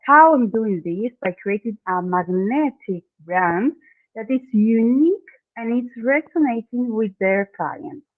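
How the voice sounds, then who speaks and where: A woman's voice: on the high side, animated, a little slow, no room sound. A woman from Argentina whose first language is Spanish, speaking English with an Argentine accent and explaining her work step by step as a podcast guest. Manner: earnest, explains step by step in non-native English with "okay". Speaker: guest, branding expert. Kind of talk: podcast interview. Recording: dry, phone-like remote call audio.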